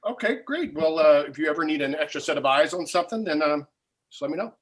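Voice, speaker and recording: A man's voice: a little high, animated, quick pace, a bit bright. A man playing an uneasy, easily offended screenwriter of about forty, stammering awkwardly in a comedy table read. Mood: polite, uneasy.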